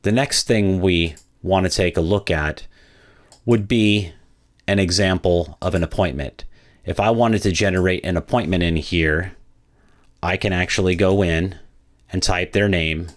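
A man narrating a product walkthrough as a software demo presenter, in a methodical, explanatory way. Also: sits low, unhurried.

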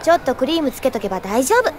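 Upbeat, very high-pitched anime girl. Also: sing-song, rapid-fire, darkish tone, slight background noise. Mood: cheerful. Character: upbeat, casual, kind young girl. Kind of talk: anime audio drama acting. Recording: studio drama with music behind.

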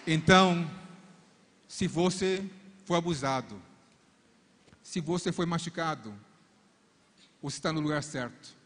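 A man's voice: fairly high pitch, unhurried, pauses often, fairly bright tone. A middle-aged man whose first language is English, speaking Portuguese on a large arena stage into a handheld mic, preaching and praying fervently at a church conference. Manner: fervent prayer repeating "Father" between phrases. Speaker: couple's coach. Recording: long reverb of a large hall.

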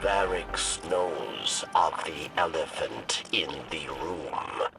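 Casting: weary alien exile, very low, croaking and extremely slow, secretive pleader for a sci-fi game. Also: male voice, expressive, exaggerated voice. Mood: regretful. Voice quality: gravelly, rasping, breathy hisses. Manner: halting, theatrical, speaks of himself in third person. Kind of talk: video game character acting. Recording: game music behind, slightly distant.

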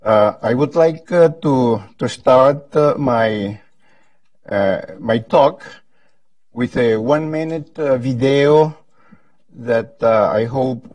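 A man, haltingly starting a conference talk as a guest speaker. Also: medium pitch, a little mellow, dry recording, recorded from a distance. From Venezuela. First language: Spanish. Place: indoor conference room at a lectern. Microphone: lapel mic.

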